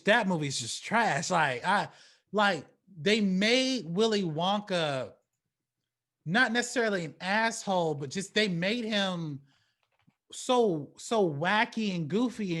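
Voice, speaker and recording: A man with a slightly high voice hosts a casual film discussion. He speaks in loose, slangy, emphatic phrases with some swearing, often stuttering over a word and repeating it before he gets to his point. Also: a bit bright. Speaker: talk show host. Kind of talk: casual movie commentary talk.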